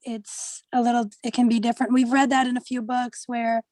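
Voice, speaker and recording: A woman's voice: on the high side, level tone, fairly quick, a little mellow, no room sound. A young woman, a student, giving her opinions in a casual, conversational group discussion. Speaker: media studies student.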